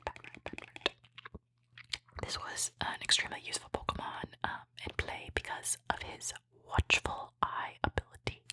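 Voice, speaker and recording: A woman whispers softly and without voicing, in a bright, breathy tone that sits close and dry on the microphone. Her delivery is gentle and appreciative, in the style of an ASMR host talking through trading cards. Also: no room sound. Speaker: host opening trading card packs. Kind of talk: whispered ASMR card commentary.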